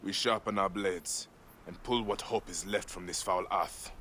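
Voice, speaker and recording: Game role: resolute, world-weary Egyptian warrior, grave and measured, slight background noise. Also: male voice, deep voice, a bit bright. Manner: solemn, deliberate. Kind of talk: dramatic video game acting.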